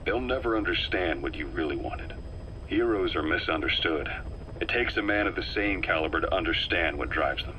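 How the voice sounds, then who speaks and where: An actor playing a shrewd male intelligence officer who talks in a measured, persuasive and faintly sardonic way. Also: low voice, bright, forceful tone, a little hiss or hum, low-quality recording. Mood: knowing. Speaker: advisor on a recorded tape. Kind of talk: video game voice acting.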